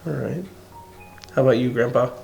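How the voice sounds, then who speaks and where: Grandson as interviewer, average-pitched man, filler-heavy short prompts, faint music behind. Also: fairly even intonation, a little fast, creaky, darkish tone, highs cut off. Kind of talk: casual family interview.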